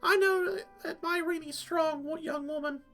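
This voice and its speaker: A man voicing a worried, tender mother from a video game in a halting, gushing falsetto. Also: fairly even intonation, a bit bright, faint music behind. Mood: grateful, tender. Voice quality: high falsetto. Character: worried, pleading, tender mother of a young woman.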